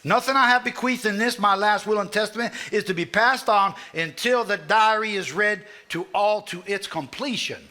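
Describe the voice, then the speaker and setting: A man with a Southern US accent playing a formal, measured family lawyer reading a will aloud in a live stage drama. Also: high voice, sharp tone. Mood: solemn, formal. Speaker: stage actor.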